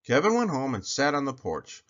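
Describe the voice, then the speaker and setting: A man reads a picture book aloud as a storyteller, with very animated, swinging intonation. His voice sits at an average pitch, and the recording is slightly dull. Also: normal speed. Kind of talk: expressive children's picture book narration.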